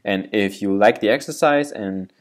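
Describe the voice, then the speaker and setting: A man's voice: lowish voice, moderate pace. A young man whose first language is German, explaining a self-reflection exercise in a loose, rambling way with restarts. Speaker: video creator giving self-improvement advice. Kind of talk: casual explainer talking to camera.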